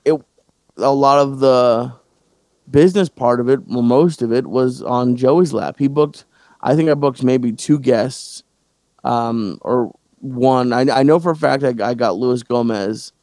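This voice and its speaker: A man hosting a casual podcast, talking in halting bursts with many restarts and fillers. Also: medium pitch, darkish tone, dry recording. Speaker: podcast host and stand-up comic.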